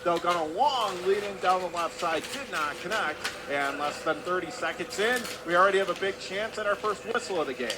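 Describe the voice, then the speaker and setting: A man's voice: sits high, fairly quick, hardly any pauses, background noise. A man doing live radio play-by-play of ice hockey in clipped running phrases from an indoor arena.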